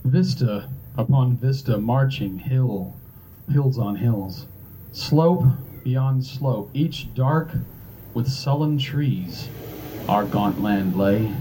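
A man reciting a poem aloud in a slow, measured reading outdoors on a porch. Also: mid-range voice, dark tone, slight background noise, low-quality recording. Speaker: poetry reader.

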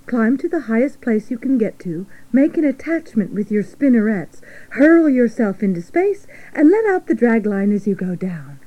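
A woman voicing a calm, no-nonsense female spider in a children's storybook, firm and composed. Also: fairly high pitch, normal speed, hardly any pauses, dull audio. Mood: instructive.

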